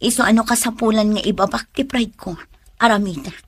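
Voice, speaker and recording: A woman's voice: mid-range voice, a little fast, breathy edge, close to an everyday voice. An actress playing a hurt, jealous young girlfriend in a Filipino radio drama, with a Filipino accent and an emotional, pleading or scolding delivery. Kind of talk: Philippine radio drama acting.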